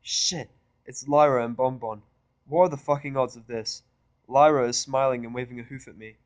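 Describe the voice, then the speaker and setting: Man, mid-pitched voice, dry close recording, story narrator, sardonic, irritable. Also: fairly even intonation, normal speed, clear, resonant tone, no room sound. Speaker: audiobook narrator. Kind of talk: first-person fan fiction narration.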